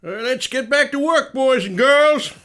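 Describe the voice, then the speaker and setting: A man's voice: extremely high pitch, measured pace, bright, forceful tone, pitched above the performer's own voice, full character voice. A man voicing an elderly farmer grandfather in an audio story, folksy and calling out to his workers. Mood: brisk, rallying. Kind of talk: audio story character dialogue.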